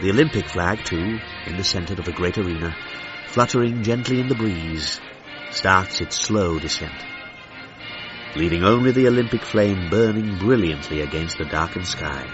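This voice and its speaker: An actor playing a formal 1930s British radio commentator with a received-pronunciation English accent and a florid, precise delivery. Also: male voice, deep voice, natural voice. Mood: stately, solemn. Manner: measured, stately, precise, florid. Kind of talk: 1930s outside-broadcast commentary. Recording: muffled vintage broadcast, music behind.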